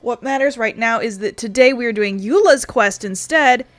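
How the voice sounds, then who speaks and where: A woman's voice: a little high, moderate pace, fairly bright tone, no echo. A woman streaming a game with casual, chatty let's-play commentary that rambles along loosely. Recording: faint game music behind voice.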